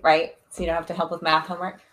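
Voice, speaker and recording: A woman's voice: lowish voice, level tone, very fast, fairly bright tone. A woman at home chiming in playfully from off to the side of a livestream, away from the microphone. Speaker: streamer's partner chiming in. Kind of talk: casual chat.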